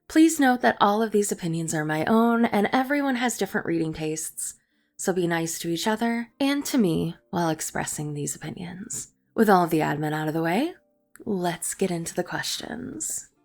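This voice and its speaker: A woman's voice: lowish voice, vocal fry. A woman hosting a book vlog, chatting in a semi-scripted, enthusiastic way. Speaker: book vlogger, host. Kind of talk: casual chat to camera, semi-scripted book review.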